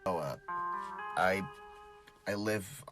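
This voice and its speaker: A young man playing a troubled, jokey teenage boy in an audio drama, with halting, stammering speech that drops into breathy murmurs. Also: low voice, fairly bright tone, faint music behind. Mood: subdued, halting. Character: troubled, jokey teenage boy hiding pain behind mischief.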